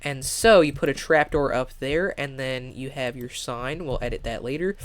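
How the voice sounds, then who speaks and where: A man's voice: medium pitch, moderate pace. A young man with a stuffy, congested voice talks casually through a building tutorial, with lots of fillers and restarts. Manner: rambling, many fillers and restarts. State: congested, nasal. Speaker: Minecraft build tutorial creator. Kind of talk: casual gaming tutorial voice-over.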